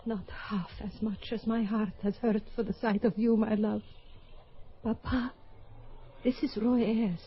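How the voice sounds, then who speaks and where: A woman's voice: medium pitch, little pitch movement, measured pace, a little hiss or hum, close to an everyday voice. A woman acting a determined young Russian skater in love in an old radio drama. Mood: earnest. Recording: dull old radio recording.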